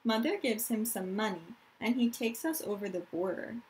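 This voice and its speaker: A woman teacher reading a picture book aloud to children in an expressive, deliberate storytelling manner, with explanatory asides. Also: medium pitch, slight background noise, low-quality recording. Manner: dramatic, deliberate storytelling delivery, addressing children directly.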